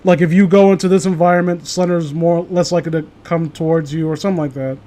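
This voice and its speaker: A man's voice: on the high side, fairly even intonation, fast talker, mellow. A man in casual, rambling gaming chat, swearing freely as he goes. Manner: profane, rambling.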